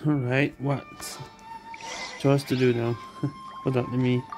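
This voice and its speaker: A woman playing a game and commenting casually. She mutters slowly in short fragments, with game music behind her. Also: a bass voice, flat delivery. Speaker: gamer.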